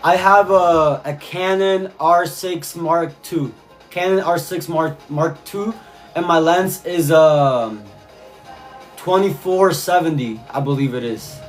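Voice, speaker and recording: A man's voice: a little high, slow pace, soft background music. A man from Cuba talking casually on a live stream, reading chat messages and answering viewers, often repeating his phrases. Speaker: livestreamer.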